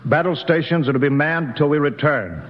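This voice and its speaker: A man's voice: fairly high pitch, lively intonation, moderate pace. A man playing a cool, authoritative spaceship captain who gives clipped military orders, in 1950s radio drama style. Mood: commanding. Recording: noisy muffled 1950s radio recording.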